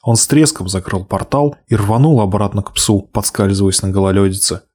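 A man reading a fairy tale aloud, expressive, slowing and hushing for the sad passages. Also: on the low side, slightly rough. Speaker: narrator. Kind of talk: storybook reading.

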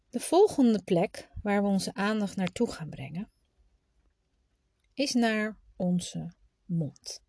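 Slow-paced woman guiding a meditation. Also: mid-range voice, a little mellow, no echo. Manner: informal, instructive, with unhurried pauses. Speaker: meditation guide. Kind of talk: guided meditation and breathing exercise.

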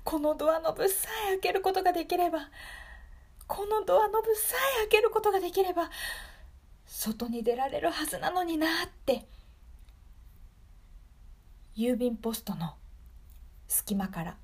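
A woman playing a frightened captive locked in a room, in a dramatic, halting monologue for an improvised radio drama. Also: very high-pitched, expressive, voice pushed up. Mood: wistful, trapped. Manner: dramatic, halting, breathy at times, with emphatic repetition.

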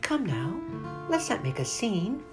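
A woman voicing a weak, calm female spider in a storybook, speaking softly and wearily but firmly. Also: unhurried, over music. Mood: gently firm. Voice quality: soft, low-volume, weary. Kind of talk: dramatic storybook character reading.

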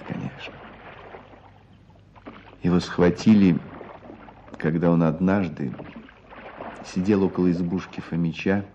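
An actor playing a thoughtful storyteller, narrating a Russian radio drama in a measured, literary, expressive manner. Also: male voice, fairly low pitch, highs cut off. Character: thoughtful male storyteller recounting a tale. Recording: radio play with faint background noise.

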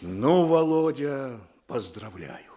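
A fatherly police chief in a radio play. His mid-pitched, very animated voice carries authority and turns easily from soft words to orders. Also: takes it slowly. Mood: pleased, fatherly. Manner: authoritative, swinging from soft to commanding. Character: fatherly but commanding male police chief.

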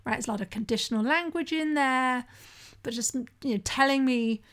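A woman's voice: high-pitched, moderate pace, a bit bright. A middle-aged woman hosting a solo podcast, talking conversationally and candidly about her own experiences, often checking in with the listener. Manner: conversational, frequently asks "right?" to the listener.

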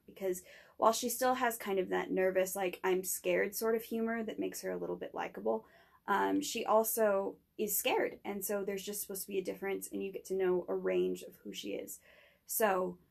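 A young woman speaks as a student guest making an informal pitch. Her voice sits at an average pitch and crackles with vocal fry. Her phrasing is loose and a little tentative. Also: little pitch movement, normal speed. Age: young adult. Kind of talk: informal spoken pitch in an interview.

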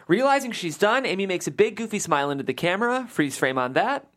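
A woman reading a comedy script's stage directions aloud at a table read, with expressive, emphatic delivery. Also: medium pitch, fast talker.

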